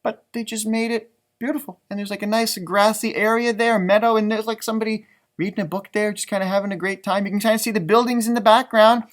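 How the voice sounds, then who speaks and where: A man's voice: high voice, fairly even intonation. A man talking casually and enthusiastically to children during a read-aloud, chatty, stretching words for emphasis like an elementary school teacher. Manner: enthusiastic, chatty, drawn-out emphasis, talking directly to young listeners.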